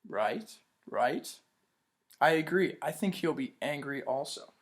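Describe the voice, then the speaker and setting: A man's voice: fairly high pitch, expressive, slow. A man teaching young children in a read-aloud lesson, upbeat, asking questions and praising answers.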